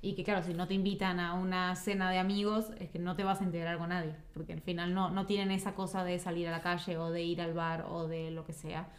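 A woman's voice: fairly low pitch, flat delivery, a little fast, hardly any pauses. A woman from Uruguay with a Uruguayan accent, talking casually as an interview guest. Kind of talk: casual chat.

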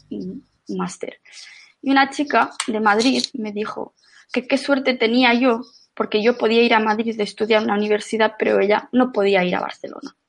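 A woman's voice: a little high, creaky. A middle-aged woman from Menorca, chatting as a podcast guest and often restarting her sentences. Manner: chatty, with frequent restarts and fillers. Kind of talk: casual conversation.

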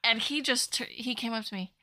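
A woman podcast co-host chatting casually and telling stories in an animated, playful way. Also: fairly high pitch, fairly quick, very noisy. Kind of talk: casual chat.

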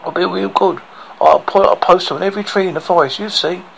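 A man's voice: sits high, animated, normal speed, creaky, a bit bright, a little hiss or hum, heavily acted, rough recording. A man voicing a servile, lying storybook slave who whines and wheedles with false innocence. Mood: wheedling, falsely innocent.

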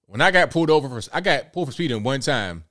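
A man in his late thirties hosting a casual livestream, talking in a relaxed way and reading out viewer comments. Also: medium pitch, quick pace. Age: late 30s. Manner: laid-back, conversational, repeats himself. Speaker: livestream host.

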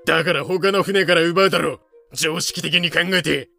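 A man's voice: a little high, normal speed, gravelly, sharp tone, faint music behind. A man playing a blustering, dim-witted pirate captain in a fan-made audio drama. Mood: loud, blustering. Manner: blustering, cocky. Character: brash, dim-witted, hot-headed male pirate captain.

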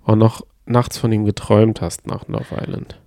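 A man's voice: fairly low pitch, little pitch movement, moderate pace, mellow, no echo, studio quality. A man co-hosting a podcast, chatting casually about reality TV in an opinionated, mildly sarcastic way. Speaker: podcast co-host. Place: hotel room.

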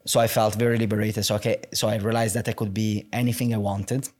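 A man's voice: fairly low pitch, level tone, a little mellow. A young man with an Italian accent, speaking as a guest in a candid, reflective conversation, often restarting his sentences. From Italy. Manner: halting, many restarts and "like" fillers, open and reflective.

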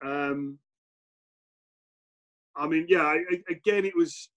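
Reflective English man, somewhat high voice. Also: slow pace. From England. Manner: relaxed, reflective, many fillers and restarts. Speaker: former footballer and coach, interview guest. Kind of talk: casual interview answers.

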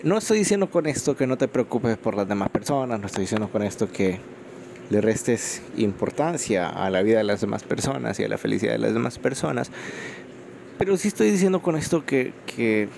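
A man's voice: medium pitch, creaky, darkish tone, a little hiss or hum. A Salvadoran man with a Salvadoran Spanish accent hosting an unscripted solo podcast, rambling with frequent fillers, recorded on a phone microphone. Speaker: podcast host.

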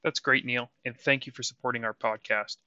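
An upbeat male podcast host with a bright, forceful tone. His voice sounds very dry and close, with a slightly dull, lo-fi quality. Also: mid-range voice, fairly even intonation, a little fast, dry recording. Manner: upbeat, enthusiastic host patter. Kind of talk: podcast interview and read ads.

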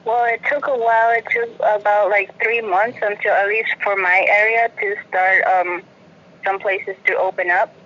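A woman with a Puerto Rican accent shares personal experiences as an interview guest. Her voice is bright and forward, and her telling is conversational. The sound is slightly muffled and lo-fi. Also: mid-range voice, steady tone, moderate pace. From Puerto Rico.

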